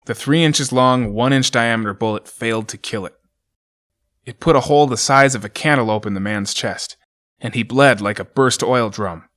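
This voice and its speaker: A man voicing a doomed ranger who reads his last journal entries in a grim, measured way. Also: mid-range voice, a bit bright, lightly acted. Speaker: journal narrator in an audio drama. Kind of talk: dramatic reading of a journal.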